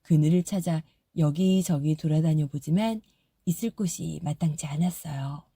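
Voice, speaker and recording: A woman reads a fairy tale in a soft, gentle storytelling voice. Her tone is very mellow and a bit husky, and it sits slightly low. The recording is close and dry. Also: moderate pace, no echo. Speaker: audiobook narrator. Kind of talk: scripted storybook narration.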